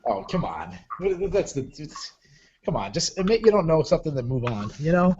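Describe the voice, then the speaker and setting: Lively Rhode Island man, noisy webcast. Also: fairly high pitch. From Rhode Island, Providence area. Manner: excitable, restarts words, quick laughs. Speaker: co-host. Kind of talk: casual sports chat. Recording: dull webcast audio with background noise.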